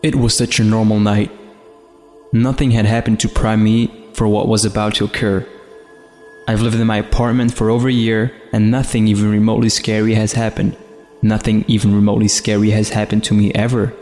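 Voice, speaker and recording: Guy reading a creepy story, lowish flat pitch, faint music behind. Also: brisk, in a large hall. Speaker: horror story narrator. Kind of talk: scripted first-person horror story narration.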